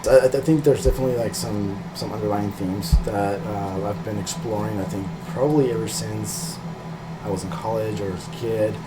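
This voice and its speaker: A man's voice: on the low side, steady tone, normal speed, over music, rough recording. A middle-aged man from Peru talking casually and hesitantly in an interview about himself, thinking aloud in an informal way. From Peru, later California. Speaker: illustrator, interviewee.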